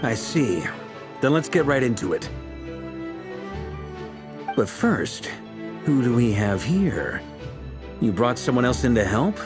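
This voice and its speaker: A wry, easygoing knight commander in a video game. His voice swings freely in pitch as he probes frankly and without hurry, with music playing behind him. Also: sing-song, slow pace, long pauses. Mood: relieved, businesslike.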